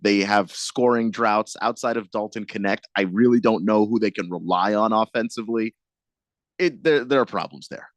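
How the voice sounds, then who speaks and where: A man on a sports radio show, breaking down basketball teams in halting speech with many restarts and easy laughs. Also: lowish voice, no room sound. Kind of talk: casual sports radio chat.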